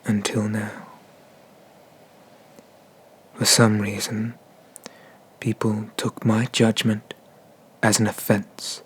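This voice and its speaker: A man playing a haughty, vengeful dragon god in an ASMR roleplay, speaking with deliberate, disdainful menace and often slipping into whispers. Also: fairly low pitch, breathy edge, exaggerated voice.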